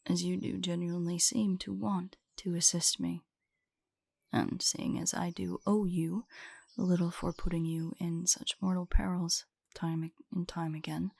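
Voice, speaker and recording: A woman playing an ancient, guarded female drider in an intimate audio roleplay, speaking in a formal, measured, haughty way with a husky, breathy voice. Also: on the low side, a little mellow, no echo, full character voice.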